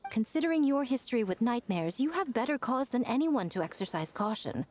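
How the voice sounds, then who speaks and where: A sly elegant advisor lady, highish lilting voice, knowing, game cutscene. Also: very animated, a little mellow. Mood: knowing, cautioning. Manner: articulate, deliberate, knowing. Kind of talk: scripted video game voice acting.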